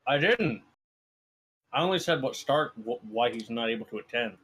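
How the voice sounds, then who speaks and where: A man playing a tabletop game on a live stream, teasing and quick to laugh. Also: medium pitch, animated, fairly bright tone. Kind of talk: joking tabletop game banter. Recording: faint background noise.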